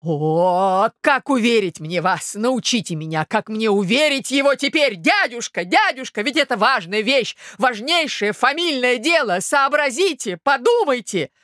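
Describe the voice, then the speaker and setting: A woman voicing an anxious young gentleman, an earnest and insistent nephew, in a literary audiobook. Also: a little high, animated, a little slow, hardly any pauses, no room sound, clean studio sound. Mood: desperate, pleading.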